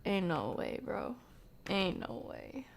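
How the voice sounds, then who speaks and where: A woman doing casual live commentary on a horror game, talking to chat in slang and thinking out loud as she reacts. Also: medium pitch, big pitch swings, slow, creaky. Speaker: game streamer.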